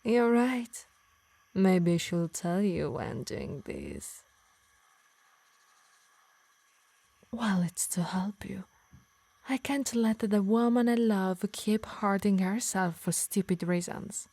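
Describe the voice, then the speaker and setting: Woman acting a soft, possessive yandere harpy, intimately close. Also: mid-range voice, sing-song, no room sound, heavily acted. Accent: Italian. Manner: soft and breathy, slipping into whispers, slow menacing emphasis. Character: possessive yandere harpy woman, tender yet menacing, obsessed. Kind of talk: intimate ASMR roleplay acting.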